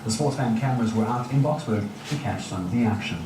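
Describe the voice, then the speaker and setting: A man reporting on a sports event in a measured news tone. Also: lowish voice. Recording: very echoey, distant, noisy.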